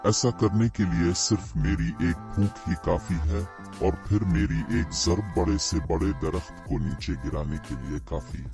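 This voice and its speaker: Swaggering tall-tale hunter, acted by a man: very low voice, scornful boasts, music bed underneath. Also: unhurried. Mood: boastful. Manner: bragging, scornful. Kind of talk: children's audio story dialogue. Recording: voice over clear background music.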